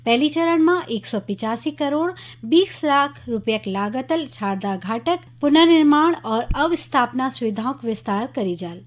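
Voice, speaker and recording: High-voiced Kumaoni woman reading radio news. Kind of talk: scripted regional news bulletin.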